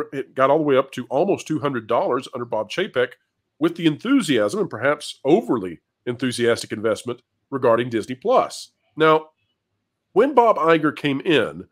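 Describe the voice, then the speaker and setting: Deeper-voiced man hosting news commentary. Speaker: host of an entertainment business news channel. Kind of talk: commentary and panel discussion.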